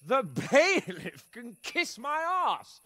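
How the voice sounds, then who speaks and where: Haughty, high-pitched young nobleman. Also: wildly swooping pitch, takes it slowly, pushed and bright, no echo, full character voice. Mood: haughty, mocking. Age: young adult. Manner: haughty, sneering, drawling. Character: arrogant, hot-tempered young nobleman, spoiled and boastful. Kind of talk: dramatic video game acting.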